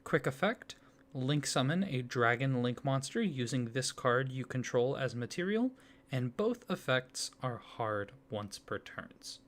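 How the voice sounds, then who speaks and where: A soft-spoken man hosts an ASMR roleplay as an eager card designer. He talks slowly and unhurriedly, his mid-range voice rising and dipping with lively, expressive melody. The gentle delivery stays quiet and close. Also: medium pitch.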